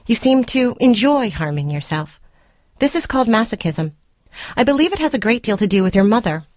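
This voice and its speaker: A woman's voice: a little high, sing-song, brisk, a little mellow, no room sound, studio quality. A woman playing a controlling, self-righteous spurned girlfriend, reading her formal letter in a prim, clinical and condescending manner, as a radio short story. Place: radio studio.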